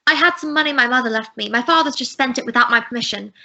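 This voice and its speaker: A woman's voice: fairly high pitch, quick pace, vocal fry, pushed and bright, heavily acted. A teenage girl playing a distressed young woman client in a student radio drama, with an earnest, urgent manner. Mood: pleading. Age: teens. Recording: dull lo-fi recording.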